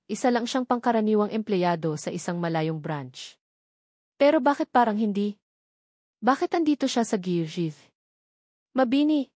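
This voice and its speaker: A woman's voice: mid-range voice, a little mellow, no room sound, clean studio sound. A woman with a Filipino accent reading scripted narration of a romance story.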